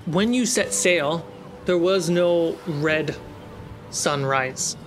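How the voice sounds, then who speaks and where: A man's voice: on the high side, drawn-out words, slight background noise. A man narrating a tabletop roleplaying game as game master, with ambient sound behind him. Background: ambient sound effects.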